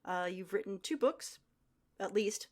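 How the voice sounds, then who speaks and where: A woman with a medium-pitched voice co-hosts a podcast. She talks casually and conversationally as she introduces and questions a guest. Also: lively intonation, unhurried, darkish tone. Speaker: podcast co-host.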